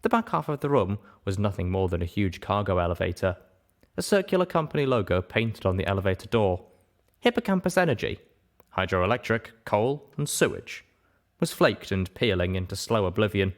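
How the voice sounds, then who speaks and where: A man's voice: lowish voice, very animated, brisk. A man reading a first-person adventure story aloud as an audiobook narrator, in a scripted storytelling style.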